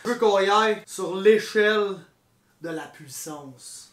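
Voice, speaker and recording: A man's voice: sits high, measured pace, a bit bright. A man with a Québécois accent hosting a joking food review podcast, hyped and swearing freely. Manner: hyped, swears a lot, keeps saying man and bro. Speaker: podcast host. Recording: roomy echo.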